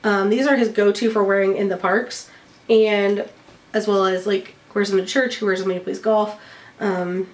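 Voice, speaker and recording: A woman's voice: medium pitch, steady tone, moderate pace, distant mic. A woman chatting casually to camera with a stuffy nose as she recovers from bronchitis, cheerful and full of ums. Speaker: vlogger showing a shopping haul.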